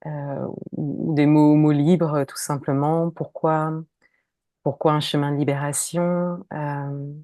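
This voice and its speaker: Low, mellow-voiced woman host. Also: little pitch movement, slow pace, no room sound. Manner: soft and hesitant, with many fillers while asking. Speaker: podcast host. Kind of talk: interviewer, casual conversation.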